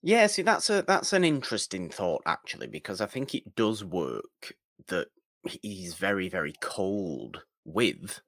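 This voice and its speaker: A man's voice: sits low, big pitch swings, unhurried, fairly bright tone, dry recording, studio quality. A man co-hosting a podcast, talking casually about film and repeating his points for emphasis. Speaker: podcast co-host.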